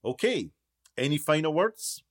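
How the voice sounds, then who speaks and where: Pompous male documentary presenter, played by an actor, radio comedy drama, higher-pitched, very animated, showy delivery. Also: unhurried, a loud crowd. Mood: probing. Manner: self-important, showy. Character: pompous self-styled documentary maker and TV-style host, man. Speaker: show host. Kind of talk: mock broadcast presenting in radio play.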